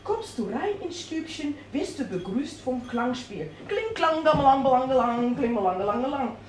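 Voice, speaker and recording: A woman's voice: high-pitched, animated, normal speed, a little mellow, a little hiss or hum. Playful Dutch-accented woman telling a comic tale. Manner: playful, self-mocking, exclamatory. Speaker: comic storyteller. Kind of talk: reading a humorous story aloud. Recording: roomy with faint ambience.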